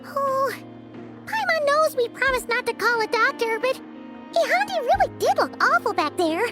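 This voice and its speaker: A tiny fairy companion in a video game, with an extremely high, childlike squeak. She is anxious and caring, her pitch bouncing around over clearly audible music. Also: sing-song, normal speed. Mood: worried. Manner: speaks of herself in third person.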